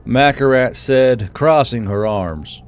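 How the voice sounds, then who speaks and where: Mid-pitched male story narrator. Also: takes it slowly, slight background noise.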